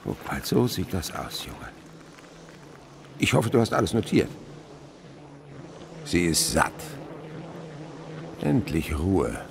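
An actor playing an excitable older father and insect researcher in a radio play, exclaiming and dictating his observations didactically. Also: deep voice, slow, gravelly, pauses often, noisy background, exaggerated voice. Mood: eager, intense. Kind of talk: German radio play acting.

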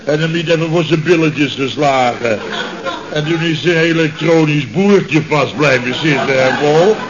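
Man playing a blustering high-voiced Dutch boss, old radio. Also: unhurried, a crowd in the background, natural voice. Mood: bitterly mocking. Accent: Netherlandic Dutch. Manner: exasperated, sarcastic, repeats himself, laughs bitterly. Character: blustering, sarcastic male boss of a construction firm. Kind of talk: radio comedy serial acting. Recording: dull, noisy old radio recording.

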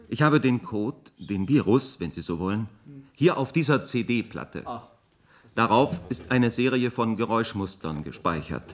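A man plays a calculating professor with a mid-pitched voice. He lectures precisely and coolly, with clinical detachment. The style is science fiction radio drama. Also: natural voice.

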